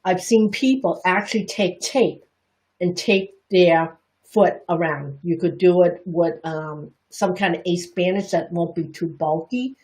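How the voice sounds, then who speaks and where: Middle-aged woman, chinese bostonian accent, kinda low voice, chatty and candid, teaching reflexology on a live stream. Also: measured pace, darkish tone, low-quality recording. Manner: chatty, candid, self-deprecating, with restarts. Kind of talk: livestream teaching talk.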